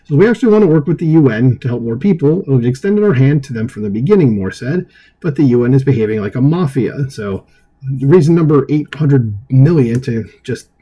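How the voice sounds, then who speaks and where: A man with a husky voice reading an article aloud as an online commentary host, dropping in opinionated, dismissive asides. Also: mid-range voice, lively intonation, a little fast, dark tone, poor audio.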